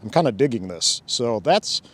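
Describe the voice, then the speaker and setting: A man's voice: medium pitch, sing-song, moderate pace, a bit bright. A man hosting a casual hands-on demo outdoors by a fountain, thinking aloud and laughing often as he goes. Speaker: drone channel host. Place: outdoors by a fountain, cold weather.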